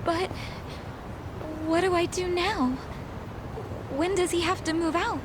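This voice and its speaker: A woman's voice: extremely high pitch, takes it slowly, background noise. An actress in an English game dub playing a timid, polite young woman who speaks hesitantly. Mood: surprised, anxious. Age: young adult. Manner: hesitant, meek. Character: timid, polite young woman worried about her father. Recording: background music over the dialogue.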